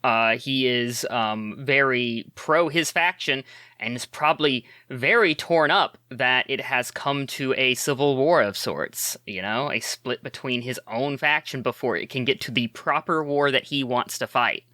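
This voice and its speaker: Player voice, man, bright and crisp tone, halting delivery, dry close mic. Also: mid-range voice, dry recording. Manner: hesitant, many fillers. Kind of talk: actual play character talk.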